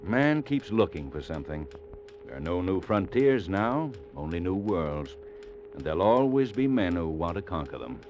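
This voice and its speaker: Earnest ship's doctor, lively intonation. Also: male voice, low-pitched, normal speed. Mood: reflective. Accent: American. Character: earnest, weary male ship's doctor, fatherly, plain-spoken. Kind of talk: old-time radio drama acting. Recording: dull vintage 1950s radio recording. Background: clear dramatic music.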